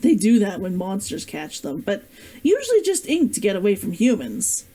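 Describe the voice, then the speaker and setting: A woman voicing a guarded, gruff male adventurer in a fantasy storybook, speaking tersely and earnestly. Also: medium pitch, wildly swooping pitch, moderate pace, dark tone. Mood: annoyed.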